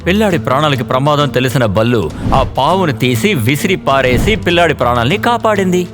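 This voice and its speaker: A man narrating an animated children's story in an expressive storytelling manner. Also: on the high side, a little fast. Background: faint background music.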